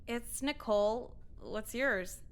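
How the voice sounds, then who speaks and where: A woman playing a sarcastic, jaded teenage high school girl in a visual novel, with a deadpan, dismissive delivery. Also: slow pace, smooth. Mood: deadpan, wary. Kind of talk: scripted visual novel voice acting.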